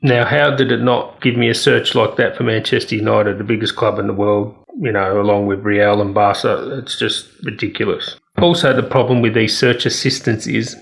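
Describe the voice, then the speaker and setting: Gravelly man giving a casual phone review. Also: on the low side, steady tone, normal speed, few pauses, a bit bright. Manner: opinionated, candid. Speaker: tech reviewer.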